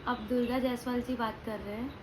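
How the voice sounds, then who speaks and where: A woman's voice: fairly high pitch, fairly even intonation, fairly quick. A young woman talking informally and playfully, as in a casual family vlog with prank calls. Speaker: family vlogger. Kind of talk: casual chat and prank calling. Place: at home, indoors. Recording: noisy home phone recording.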